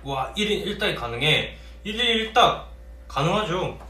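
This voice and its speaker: A man's voice: high-pitched, moderate pace. A young man, a member of an idol group, chatting and teasing his bandmates during a casual livestream meal. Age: young adult. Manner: playful, teasing, lively storytelling. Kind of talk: casual chat while eating. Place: small indoor office room, seated at a table. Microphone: fixed camera across the table. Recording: roomy, distant mic with faint hiss.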